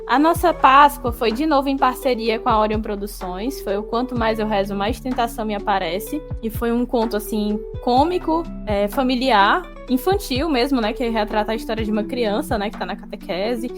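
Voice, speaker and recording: A woman's voice: a little high, moderate pace, hardly any pauses, over music. A Brazilian woman hosting an informal retrospective chat about her group's work. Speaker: audio drama group founder and host.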